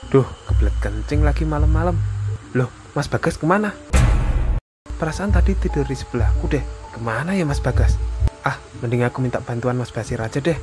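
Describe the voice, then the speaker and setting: A man voicing a young Indonesian hiker, a practical, concerned friend talking colloquially in an animated horror story. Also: mid-range voice, fairly quick, close to an everyday voice. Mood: alarmed. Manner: casual, colloquial. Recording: music behind the dialogue.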